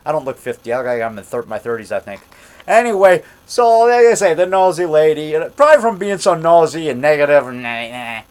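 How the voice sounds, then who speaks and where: A man in his fifties tells a long, wandering story in a loud, fairly high voice. He circles back and repeats himself, growing more worked up as he talks. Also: expressive, fairly quick, smooth. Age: 50s. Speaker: monster movie maker telling a story. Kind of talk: casual storytelling monologue.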